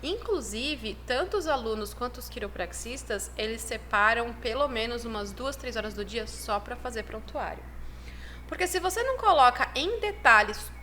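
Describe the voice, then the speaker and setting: A woman. Her voice sits a little high and she talks in a chatty, upbeat way, full of fillers. Faint background noise runs under the recording. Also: expressive, moderate pace, sharp tone. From Brazil. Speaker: chiropractic program dean, guest. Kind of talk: casual podcast interview.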